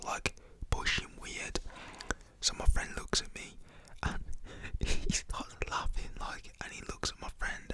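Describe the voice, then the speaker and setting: A young man, whispering a rambling personal story to the camera with lots of "like" fillers. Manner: whispers throughout. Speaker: storytelling vlogger.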